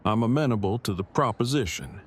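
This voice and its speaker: A gentle survivor dad in an audio drama, acted by a man, reassuring and a little stilted. His voice is fairly low, with faint background noise. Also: lively intonation, fairly quick, close to an everyday voice. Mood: agreeable. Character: formal-worded, gentle survivor father with an injured knee.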